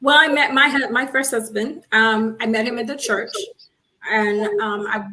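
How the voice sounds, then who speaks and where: Woman guest, fairly high voice, earnest, steady storytelling. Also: moderate pace, a little hiss or hum. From Haiti, raised in Miami. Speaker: guest, coach. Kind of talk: casual interview.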